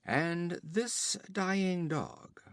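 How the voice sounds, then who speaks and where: A man voicing a hard, boastful outlaw chief who gives orders in a raised, loud voice. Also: high voice, extremely slow, dry recording, clean studio sound, pitched above the performer's own voice. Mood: swaggering. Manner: boastful, commanding.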